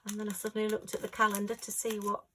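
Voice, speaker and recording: Chatty woman, slightly hoarse. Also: medium pitch, monotone, very fast, noisy background. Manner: chatty, rambling, homely, with frequent fillers. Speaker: crafting vlogger. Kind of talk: casual chat while crafting. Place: at home.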